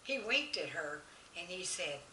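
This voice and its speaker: Female children's storyteller, expressive and bright, speaks straight to young listeners; roomy sound with faint noise. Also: lowish voice, unhurried, far from the mic. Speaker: author reading own children's stories. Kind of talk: children's story narration with chat.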